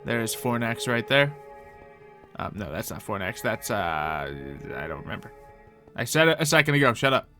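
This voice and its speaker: A man's voice: medium pitch, very animated, moderate pace, pushed and bright, faint music behind. A man giving casual, improvised gameplay commentary in character, chatting to himself and thinking aloud as he goes. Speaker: gaming video host playing in character.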